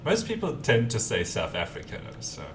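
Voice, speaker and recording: A man's voice: medium pitch, wildly swooping pitch, brisk, background noise. A man from Germany with a mild German accent and an Australian twang, chatting easygoingly with viewers while he builds in a game. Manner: easygoing, chatty, answers viewer questions between tasks. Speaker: game streamer. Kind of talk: casual live-stream chat while building in a game. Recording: distant mic with keyboard typing behind.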